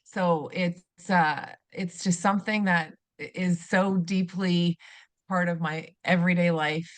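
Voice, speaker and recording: Low-voiced earnest middle-aged woman. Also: fairly even intonation, a little slow, no echo. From Canada. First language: German. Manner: earnest, reflective, many restarts and fillers. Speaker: podcast guest, retired teacher. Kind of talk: conversational interview.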